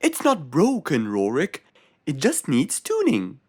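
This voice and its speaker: Animated, obliging cartoon fox acted by a performer. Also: mid-range voice, slow, husky, exaggerated voice. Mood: reassuring. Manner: reassuring, animated. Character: obliging, upbeat fox handyman, adult male. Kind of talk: children's cartoon character acting. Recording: music behind the voice.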